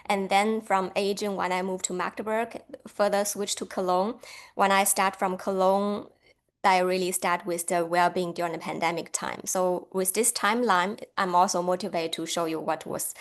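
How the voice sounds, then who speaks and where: A woman from China giving a friendly academic lecture over a video call, laughing lightly now and then. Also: mid-range voice.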